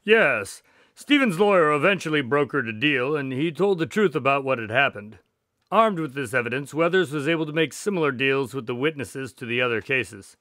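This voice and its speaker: A man playing an earnest, measured doctor and detective's sidekick in a radio drama. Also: medium pitch, animated, fairly bright tone, dry recording, clean studio sound, natural voice. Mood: earnest storytelling. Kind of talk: radio drama dialogue and storytelling.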